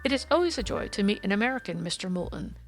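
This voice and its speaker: A woman voicing a brisk, courteous Victorian male detective in an audiobook, precise, formal and explanatory in manner. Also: sits high, sing-song, soft background music.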